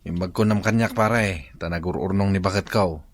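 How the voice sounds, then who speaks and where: A man's voice: low voice, moderate pace. A man with an Ilocano accent playing a struggling village farmer, commiserating conversationally in a comedy radio drama. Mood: resigned, commiserating.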